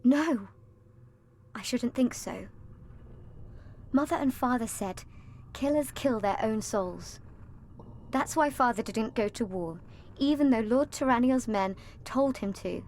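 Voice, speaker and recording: A woman's voice: fairly high pitch, animated, slow pace, long pauses, a little mellow, slight background noise. An actress playing a young orphan girl in a video game, earnest, plaintive and stubbornly moralizing. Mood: earnest, curious.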